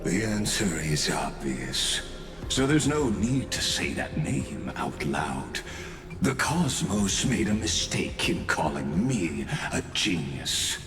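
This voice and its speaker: An actor playing an ancient, condescending genius villain in a video game, grave and theatrical, delivering coldly philosophical monologues with deliberate pomp. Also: male voice, rumbling low, very animated, full character voice. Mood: grave, measured. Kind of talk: dramatic scripted video game voice acting. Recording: echoing voice over background music.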